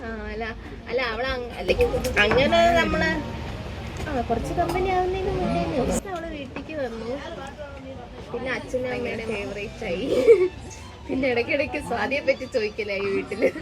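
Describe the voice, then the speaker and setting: A woman's voice: sits high, expressive, moderate pace, over loud music. A young woman chatting casually among friends, thinking aloud with English words mixed in. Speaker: friend of the host. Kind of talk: casual group chat.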